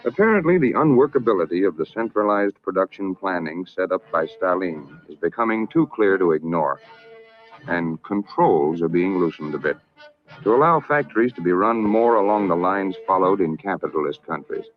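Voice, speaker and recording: An American man narrating a scripted 1960s public information film in a formal, measured, emphatic manner. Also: sits low, animated. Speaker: documentary narrator. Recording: narrow-band vintage film soundtrack, music behind.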